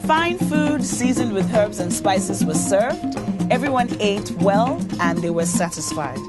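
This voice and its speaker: A woman reading a scripted story as an expressive narrator, deliberate and dramatic. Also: medium pitch. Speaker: story reader. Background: music under the voice.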